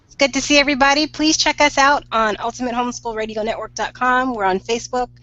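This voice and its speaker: Brisk woman signing off a call. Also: a little high, fairly even intonation, pushed and bright. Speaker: show moderator. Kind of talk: wrapping up a group show. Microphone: video call. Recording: dull lo-fi distant call audio.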